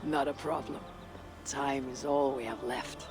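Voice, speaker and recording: A man's voice: medium pitch, fairly even intonation, measured pace, over music, lightly acted. An actor playing an earnest, curious Viking-age man in a video game, patiently asking questions. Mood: patient, resigned. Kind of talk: dramatic video game acting.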